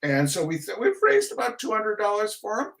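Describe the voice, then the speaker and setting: A man's voice: high voice, wildly swooping pitch, fairly quick, creaky, a bit bright. A man hosting a casual livestream, chatting in a rambling, self-deprecating and fretful way, full of digressions. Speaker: host of a livestreamed reading night. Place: indoor room at a computer. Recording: roomy echo, distant microphone.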